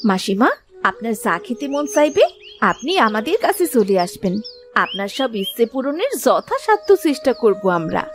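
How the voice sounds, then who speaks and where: A caring young village wife, with a fairly high and very animated voice. She sounds respectful and attentive, a cartoon fairy-tale figure over faint background music. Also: normal speed.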